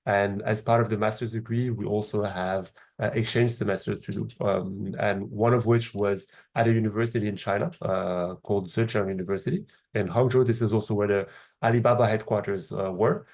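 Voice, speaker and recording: A man in his thirties from Morocco with a French accent, answering interview questions in a measured, explanatory way with frequent hesitations. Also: on the low side, fairly even intonation, vocal fry, darkish tone. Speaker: podcast guest, startup founder.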